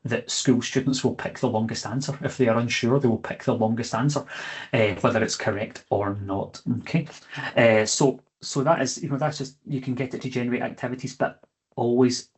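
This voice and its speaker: A man talking through an online teacher training session at an ordinary mid pitch. He speaks conversationally, checking in with his listeners and pausing on "eh" as he thinks. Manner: conversational, checks in with "okay?" and fills pauses with "eh". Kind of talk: online webinar presentation.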